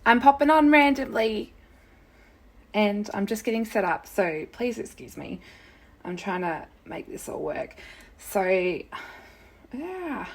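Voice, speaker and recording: A young woman with a croaky, sore-throated voice, hosting a casual live craft tutorial from her desk at home. She rambles chattily, greets viewers by name and keeps apologising. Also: a little high, animated, slow, long pauses. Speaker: craft demonstrator and live-stream host.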